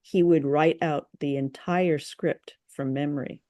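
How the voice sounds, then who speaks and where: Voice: mid-range voice, fairly even intonation, measured pace, mellow, no echo, clean studio sound. A co-host in casual chat, sharing trivia in a chatty, playful, slightly tentative way.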